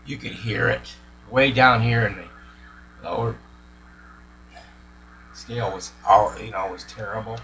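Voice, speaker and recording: Guy muttering through a repair job in his shop, sharp bright voice, bit of hum in the background. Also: fairly low pitch, unhurried, long pauses, low-quality recording. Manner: muttering, thinking aloud. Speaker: radio repair hobbyist. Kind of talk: casual hands-on repair commentary. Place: repair workshop. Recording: clear mains hum and noise.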